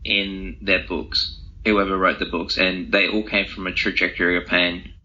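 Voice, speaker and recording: Deep, forceful, husky young man. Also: flat delivery, moderate pace. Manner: informal, conversational, thinking aloud. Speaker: interview guest, author and speaker. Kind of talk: casual reflective interview answers. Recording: dull, lo-fi audio.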